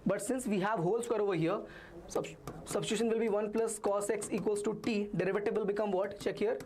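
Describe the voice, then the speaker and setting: A man's voice: high voice, fairly even intonation, fairly quick, a little mellow, a little hiss or hum. Indian guy teaching maths live online, chatty and encouraging, easygoing. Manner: chatty, reassuring, reads student comments aloud. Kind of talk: live online revision class.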